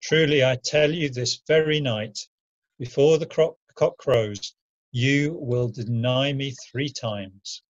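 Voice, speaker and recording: A man playing a grave, solemn male teacher and prophet in a deliberate scripture drama reading. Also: mid-range voice. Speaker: amateur reader in church dramatic reading. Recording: dull lo-fi home recording.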